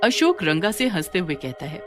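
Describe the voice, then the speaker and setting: A woman narrating a scripted cartoon story as an expressive storyteller. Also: lowish voice, normal speed, faint music behind.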